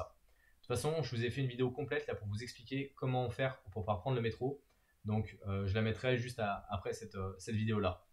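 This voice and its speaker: A man's voice: fairly low pitch, darkish tone. Man giving casual travel tips. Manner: explanatory, many filler hesitations. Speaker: travel vlogger.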